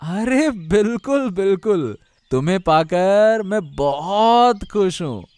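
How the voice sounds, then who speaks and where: A man's voice: sits high, lively intonation, takes it slowly, a little mellow, clear, resonant tone, no room sound. A man voicing a kind, devoted young husband in a cartoon story, earnest and a little hesitant. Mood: tender. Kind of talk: Hindi cartoon story voice acting.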